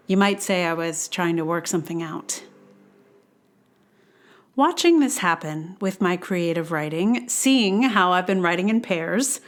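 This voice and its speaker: A woman hosting a blogcast, reading her personal essay in a reflective, conversational way with wry, self-deprecating asides. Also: mid-range voice. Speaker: blogcast host and writer.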